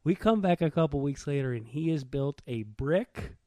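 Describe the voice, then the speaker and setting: Man, slightly high voice, irreverent storyteller, relaxed conversational style, dry close recording. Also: normal speed, dry recording. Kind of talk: casual anecdotal chat.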